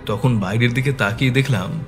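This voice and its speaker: A man narrating a detective story as the sleuth's loyal, concerned companion, in a measured dramatic style. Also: medium pitch, lightly acted. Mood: subdued, reflective. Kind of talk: scripted suspense story narration. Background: background music.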